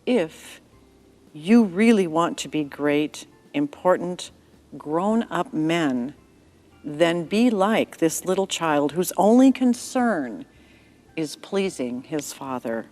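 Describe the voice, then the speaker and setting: A woman voicing Jesus as a gentle, kind grown man speaking tenderly in a calm storybook reading. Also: low-pitched, slow pace, soft background music.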